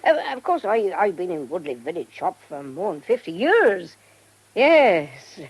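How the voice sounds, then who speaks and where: An actress playing an old, friendly, gossipy village shopkeeper in a radio drama, rambling and folksy in a rural southern English accent. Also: female voice, on the low side, big pitch swings, unhurried, breathy edge, full character voice. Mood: gossipy, amused. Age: older.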